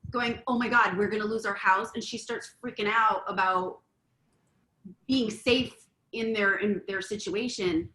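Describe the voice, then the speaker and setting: A woman's voice: medium pitch, fairly even intonation, a little slow, bright, forceful tone. A middle-aged woman co-hosting a relationship talk show, earnest and explaining her point at length with examples. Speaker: podcast co-host. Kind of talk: casual chat. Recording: echoey room, faint ambience.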